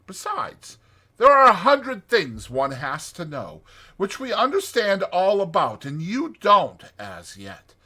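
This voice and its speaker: A man's voice: sits high, huge pitch swings, slow, pushed and bright, pitched above the performer's own voice, exaggerated voice. A man voicing a hearty, good-natured, capable water rat in a dramatized children's storybook, with an emphatic, jaunty manner. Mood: soothing, explanatory.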